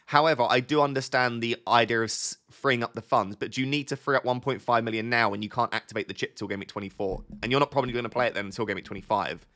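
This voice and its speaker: A man with a mild cold chats casually on his live stream, his voice a touch sniffly. He talks at a middling pitch for a man. He thinks out loud, trips over his words and restarts his sentences as he answers viewers' questions. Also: fairly even intonation, fast, hardly any pauses. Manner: chatty, thinks aloud, restarts sentences often. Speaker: livestream host answering viewer chat.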